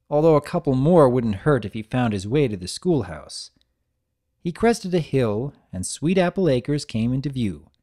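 A male narrator gives a dramatic story reading. His voice is medium in pitch and moves about animatedly through the scripted prose. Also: mellow.

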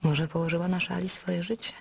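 An actress playing a brisk, speculating storyteller in a radio play, with a husky voice. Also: female voice, on the low side, flat delivery, exaggerated voice. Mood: brisk, speculative. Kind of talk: dramatic third-person narration.

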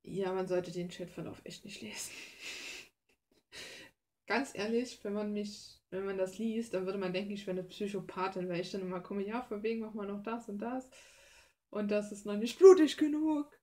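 A woman's voice: mid-range voice, steady tone, moderate pace, dark tone. A young adult woman from Germany chatting casually as she works on her art, self-deprecating, blunt and slangy, recorded at a desk in a small room. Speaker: hobby artist and video creator. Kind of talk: casual rambling chat while painting.

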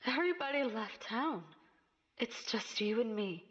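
A woman's voice: a little high, animated, unhurried, fairly bright tone. A woman acting an eerie, possessive character in a horror film. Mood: eerily possessive. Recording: muffled horror film audio with long reverb.

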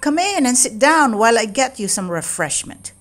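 A woman's voice: fairly high pitch, sing-song, moderate pace. A woman voicing an impatient male painter in a storybook, exclaiming and giving commands. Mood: welcoming. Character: impatient, scolding male painter master in old Venice.

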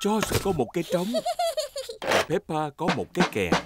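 A man's voice: on the high side, very animated, normal speed. A man as the cheerful narrator of a preschool cartoon, telling the story simply and expressively. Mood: cheerful, storytelling. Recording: loud music behind narration.

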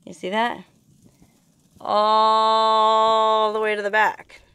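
A woman in her thirties, excitable and easily amazed, narrating as she explores outdoors and inside an old mine, talking into a handheld camera. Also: on the high side, drawn-out words, clear, resonant tone, no echo.

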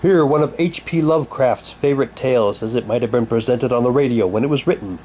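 A man giving a halting, unhurried, casual commentary as he shows and reads out items for an unboxing video. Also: mid-range voice. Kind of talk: casual unboxing commentary, reading packaging aloud. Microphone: handheld camera's built-in mic. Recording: noisy home camcorder, steady hum.

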